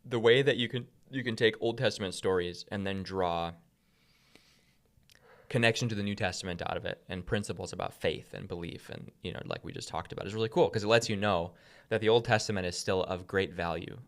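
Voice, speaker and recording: Male podcast co-host, low voice, casual scripture discussion, explanatory, repeats key phrases. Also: a little fast, fairly bright tone.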